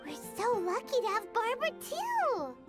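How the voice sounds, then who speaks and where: An innocent, eager little girl from an anime game. Her tiny childlike voice sits very high and bounces widely in pitch. She stretches her words earnestly over loud tinkling music. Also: sing-song. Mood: delighted. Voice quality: small childlike voice. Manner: drawn-out, exclamatory, earnest.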